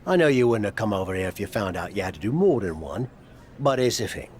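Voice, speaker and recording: An actor playing a wry British veteran wrestler and mentor, coaxing and teasing with dry humour in a video game cutscene. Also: male voice, on the low side, big pitch swings, fast, a little mellow, slight background noise. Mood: teasing, brisk. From United Kingdom.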